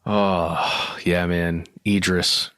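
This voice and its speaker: A man's voice: low voice, flat delivery, very slow, a bit bright, smooth, no echo, studio quality. A man co-hosting a podcast, chatting casually with informal, loose phrasing. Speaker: podcast co-host. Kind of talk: casual chat.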